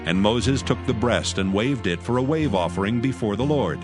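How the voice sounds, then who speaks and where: A man narrating scripture in a steady, reverent, measured way for a dramatized audio Bible. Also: medium pitch. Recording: narration over clear music. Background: music.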